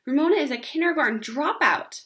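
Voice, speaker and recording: A woman's voice: high-pitched, lively intonation, a little fast, a bit bright, pitched above the performer's own voice. A woman voicing a teasing, tattletale older sister in a storybook, mocking and exaggeratedly distinct.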